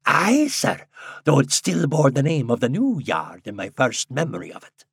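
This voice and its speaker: A man voicing a frail, excitable, pious old seaside man in a story, with archaic rustic speech and drawn-out exclamations. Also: mid-range voice, gravelly, breathy edge, no room sound, clean studio sound, full character voice. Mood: ominous, preachy.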